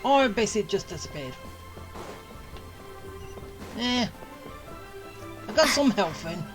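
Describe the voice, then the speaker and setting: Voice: medium pitch, big pitch swings, measured pace, over music. A game streamer giving casual playthrough commentary, joking along and reacting to the dialogue.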